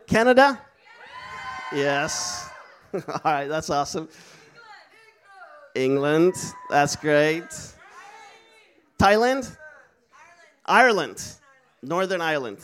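A man's voice: sits high, a bit bright, slight background noise. Upbeat man addressing a crowd. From Netherlands. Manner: upbeat, encouraging, calls out to the crowd. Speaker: speaker at a missions school. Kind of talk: casual sermon talk to a live audience.

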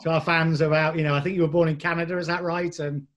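A man's voice: on the high side, level tone, fast, a bit bright, lo-fi sound. Easygoing male club interviewer. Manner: friendly, easygoing questions.

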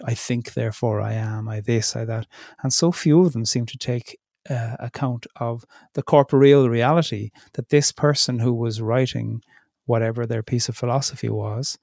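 Thoughtful man, gravelly and dry. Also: medium pitch, darkish tone, no echo. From Ireland. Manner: thoughtful, searching, with frequent restarts and hesitations. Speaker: theologian in residence, interview guest. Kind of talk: reflective interview conversation.

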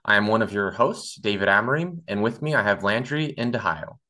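A young man from Brazil hosts a student podcast in a somewhat low, upbeat voice. He mixes a scripted opening with casual, filler-laden chat. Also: expressive, a little fast, pushed and bright. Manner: upbeat, with many "awesome"s and uh fillers. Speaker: podcast host.

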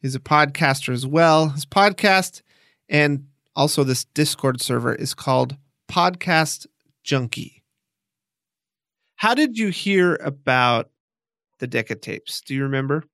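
Guy hosting a podcast doc, kinda higher voice, dry close sound, casual and into it. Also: lively intonation, unhurried, a bit bright, no echo. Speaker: documentary podcast host. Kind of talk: conversational podcast narration and interviewing.

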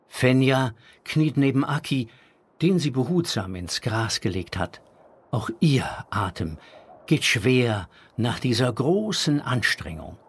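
A man narrating a children's audio drama as a calm storyteller, reading scripted narration. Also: mid-range voice, slightly rough.

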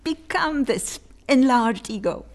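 A woman's voice: high-pitched, expressive, slow. A middle-aged woman hosting a podcast panel, speaking wryly and posing rhetorical questions. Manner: wry, poses rhetorical questions. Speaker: podcast host.

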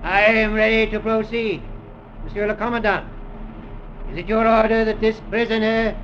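A man's voice: high voice, flat delivery, a bit bright. An actor playing a sadistic, gloating executioner who draws out his commands in an old radio drama. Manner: drawn-out, savoring commands. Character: sadistic, gloating male executioner at a prison colony. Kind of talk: 1940s-50s radio drama acting. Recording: muffled old radio recording, faint noise.

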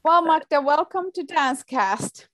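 A woman's voice: high voice, animated, measured pace, fairly bright tone, no echo. A woman hosting a podcast interview, chatty and eager with lots of fillers. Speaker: podcast host.

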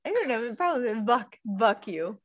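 Lively, sarcastic woman co-host. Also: on the high side, moderate pace, highs cut off. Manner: sarcastic, joking, defensive about her own speech. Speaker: podcast co-host. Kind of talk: casual drunk chat.

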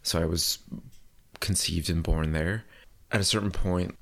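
Very deep-voiced rambling young man. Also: fairly even intonation, a little slow, a bit bright. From Puerto Rico. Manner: rambling, full of likes and you knows. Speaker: artist guest. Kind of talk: casual interview.

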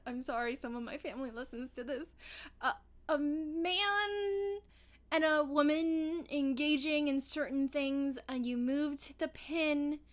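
A woman with a high voice giggles her way through a podcast chat. She teases her co-host and tosses in quick reactions. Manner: giggly, teasing. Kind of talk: casual chat.

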